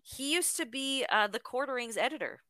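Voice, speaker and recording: A woman's voice: high-pitched, brisk, pushed and bright, no echo, clean studio sound. A woman hosting a podcast, chatting casually and trailing off into fillers. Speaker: podcast host.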